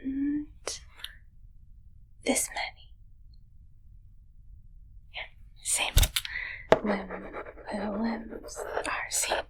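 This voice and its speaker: A woman voicing a bumbling shapeshifting alien who poses as a human doctor in an ASMR roleplay, whispering in halting, stilted broken English. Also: medium pitch, low-quality recording. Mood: curious, clinical. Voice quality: whispery, breathy.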